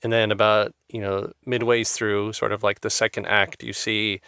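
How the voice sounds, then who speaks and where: A man with a somewhat low, smooth and resonant voice hosts a relaxed interview. He nods along with "sure, sure" and builds loose questions. The sound is dry and close. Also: moderate pace, dry recording. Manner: affirming, with filler-laden questions. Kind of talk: casual interview.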